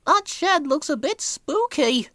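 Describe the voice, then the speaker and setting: A woman's voice: high-pitched, lively intonation, slow pace, fairly bright tone, dry recording, studio quality, pitched above the performer's own voice, full character voice. A woman voicing a cheeky, touchy little male tank engine who exclaims his lines in a children's storybook. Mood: wary, curious.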